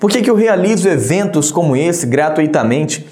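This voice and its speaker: A man's voice: a little high, expressive, moderate pace, a little mellow, echoey room. A man teaching an online sign language lesson, talking straight to the viewer in an encouraging, direct way. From Brazil.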